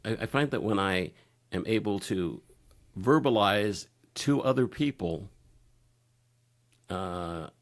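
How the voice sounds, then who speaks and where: A man speaking candidly as a podcast guest, halting and searching for his words. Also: on the low side, animated. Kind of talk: candid personal conversation.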